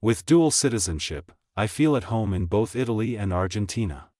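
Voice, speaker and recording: Male narrator for a language lesson, lowish voice, dry close recording, careful even delivery. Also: moderate pace, dry recording. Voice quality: plain, even reading of each sentence, repeated. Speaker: English teacher reading practice sentences. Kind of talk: slow, clear scripted reading for shadowing practice.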